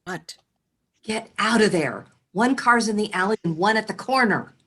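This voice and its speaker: A woman's voice: medium pitch, lively intonation, normal speed, a bit bright, breathy edge. A woman reading the part of a worried lookout in a screenplay table read. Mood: urgent.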